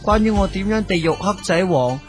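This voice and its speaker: A man's voice: sits high, lively intonation, a little fast, music in the background. A man with a Hong Kong Cantonese accent narrating a comic radio drama in a jokey, chatty way. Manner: jokey, chatty, stammering gags. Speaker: radio host and storyteller.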